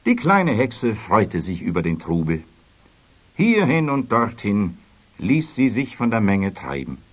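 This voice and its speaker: A man narrating a children's story in an expressive, scripted storytelling manner. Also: lowish voice, measured pace.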